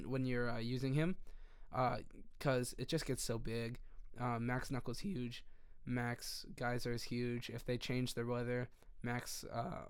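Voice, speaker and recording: A young man with a hoarse voice that keeps giving out, casually walking through a game strategy in a rambling, self-correcting way. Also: medium pitch, no room sound, studio quality. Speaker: video creator.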